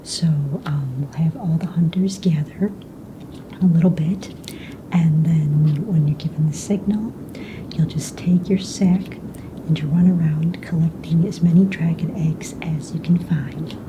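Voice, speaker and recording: A woman playing a calm, businesslike young fantasy egg hunt official in a breathy, soft-spoken ASMR roleplay, casual and matter-of-fact. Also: low-pitched, unhurried, highs cut off, background noise. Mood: calm, polite. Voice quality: breathy, whispery.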